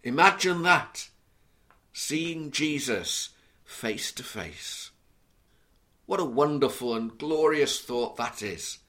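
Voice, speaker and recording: A man from Liverpool with a mild Scouse accent, preaching an earnest, measured sermon and reading scripture aloud. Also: medium pitch, expressive, sharp tone. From Liverpool, England.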